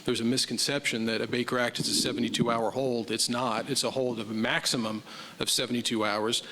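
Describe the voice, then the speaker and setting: A man, a police captain, answering a review board's questions in a measured, explanatory way at a public meeting. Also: mid-range voice, level tone, bright, forceful tone, long reverb tail, a little hiss or hum. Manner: measured, explanatory, admits uncertainty. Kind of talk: formal public meeting testimony. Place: city council chamber.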